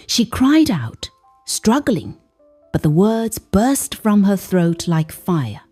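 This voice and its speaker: A woman's voice: mid-range voice, very animated, slow pace, mellow, slightly rough, faint music behind. A woman narrating a folktale in a dramatic, scripted storytelling style.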